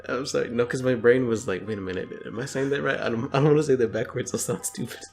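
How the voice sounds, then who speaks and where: A man's voice: medium pitch, quick pace. A young man co-hosting a casual comedy podcast, talking in a rambling, slangy, jokey way. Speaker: podcast co-host. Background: music.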